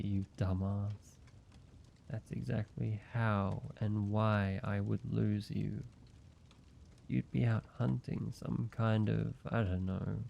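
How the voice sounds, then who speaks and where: A man voicing a weary, guarded ancient vampire who is grieving yet tender, speaking haltingly in an intimate roleplay audio drama with a gravelly voice. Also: mellow, a little hiss or hum, full character voice. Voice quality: low, gravelly. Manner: slow, halting, hesitant delivery with pauses.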